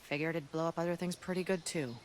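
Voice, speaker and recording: An actor playing a determined, resourceful resistance fighter in a video game cutscene, serious and earnest. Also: male voice, fairly high pitch, fairly even intonation, fast talker, a little hiss or hum, close to an everyday voice. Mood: confident.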